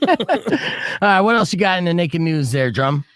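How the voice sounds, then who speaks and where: A man's voice: unhurried, no room sound, studio quality. A man hosting a radio show, telling stories and bantering casually with his co-hosts. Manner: animated storyteller, jumps loud and high when excited. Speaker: radio DJ and host. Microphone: studio microphone.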